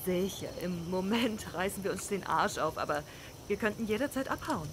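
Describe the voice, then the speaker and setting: A woman's voice: mid-range voice, animated, normal speed, background noise, close to an everyday voice. A woman voicing a blunt, free-spirited young drifter in a video game fan dub, casual, coarse and sarcastic. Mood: defiant. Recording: night crickets and outdoor ambience behind.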